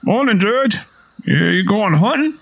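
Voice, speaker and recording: Guy doing a defensive southern country father, high voice that jumps around, folksy, audio story. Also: lively intonation, measured pace, vocal fry, fairly bright tone, full character voice. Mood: wary. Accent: American South.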